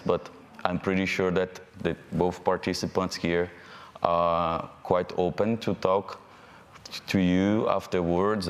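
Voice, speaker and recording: A man from Brazil with a Brazilian Portuguese accent moderating a live panel, friendly and halting, with fillers. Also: low-pitched. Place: event hall. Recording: hall reverb from a live event.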